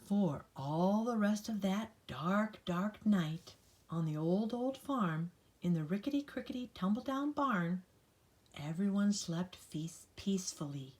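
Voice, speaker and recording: Older woman reading to children, slow and animated. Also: mid-range voice. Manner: playful, sing-song listing with dramatic emphasis; talks directly to young listeners. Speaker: grandmother reading a picture book aloud. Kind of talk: children's read-aloud with chatty asides.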